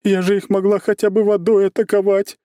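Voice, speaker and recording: A man's voice: sits high, flat delivery, brisk, warm tone, no echo, clean studio sound, pitched above the performer's own voice, lightly acted. A man voicing a distraught, combative middle-aged mother of the bride in an audiobook, with breathless, emotional delivery. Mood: agitated, indignant. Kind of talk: audiobook character voice.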